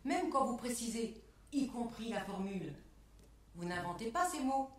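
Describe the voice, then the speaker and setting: A woman delivering a scripted spoken exposé in a measured, carefully enunciated way, setting phrases apart like quotations. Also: on the high side, lively intonation, far from the mic. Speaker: performer in a spoken-word performance lecture. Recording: echoey room, picked up from afar.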